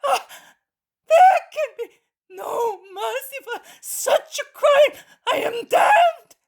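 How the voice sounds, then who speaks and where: A woman's voice: extremely high pitch, animated, slow, gravelly, pitched above the performer's own voice, heavily acted. A woman voicing a terrified, guilt-stricken grown man who shrieks, sobs and begs for mercy. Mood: begging. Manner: shrieking, sobbing, frantic cries.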